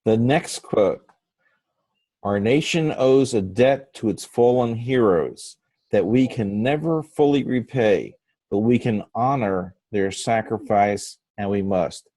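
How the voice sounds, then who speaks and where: A man with a fairly low voice moderates a public speaking meeting. He puts prompts to speakers, and the sound is dry with no room echo. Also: takes it slowly, mellow. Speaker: table topics host.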